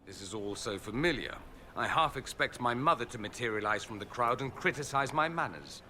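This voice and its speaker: Witty, lively aristocratic mage. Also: male voice, medium pitch, fairly quick. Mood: amused, wry. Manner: wry, sardonic, flourishing. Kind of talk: fantasy video game dialogue acting.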